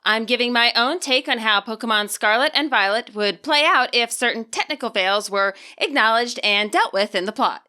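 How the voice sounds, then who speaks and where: Brief: woman author narrating her fan story, lively and bright. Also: fairly high pitch. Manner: expressive, animated reading. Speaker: fanfiction author and podfic reader.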